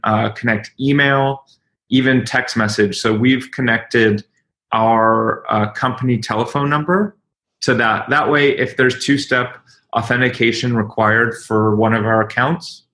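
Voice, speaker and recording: Man, gravelly creak, medium pitch, live tutorial delivery, explanatory, hesitant phrasing. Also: a bit bright. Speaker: webinar host and company leader. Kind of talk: informal live software demonstration.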